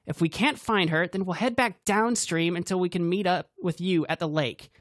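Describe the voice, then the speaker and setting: A bossy, sharp-tongued young woman who swears freely and takes command, as a storyteller puts her on, in a raised, tense voice. The sound is dry and close. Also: medium pitch, moderate pace, no echo, pitched above the performer's own voice, natural voice.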